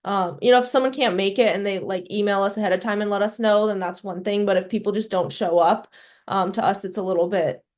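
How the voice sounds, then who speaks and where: A woman's voice: mid-range voice, fairly even intonation, quick pace, a little mellow. A woman representing a residency program, speaking over a video call with conversational, hedging advice. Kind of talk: webinar panel advice.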